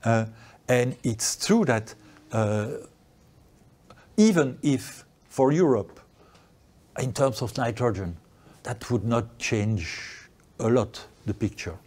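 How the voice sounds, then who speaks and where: An older man with as his answers an audience question, speaking slowly and haltingly. He keeps restarting phrases as he thinks aloud. He is recorded indoors in a lecture room on a lapel mic. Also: mid-range voice, expressive, a little mellow. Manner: slow, halting, with many restarts. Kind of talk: academic Q&A answer.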